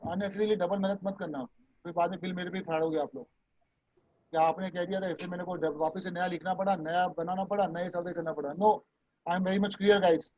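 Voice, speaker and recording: A man's voice: high-pitched, fairly even intonation, normal speed. A man teaching a voice class over a video call, talking casually and reassuringly, mixing Hindi and English. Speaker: voice and dubbing trainer. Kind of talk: online class coaching. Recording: dull, lo-fi video call audio.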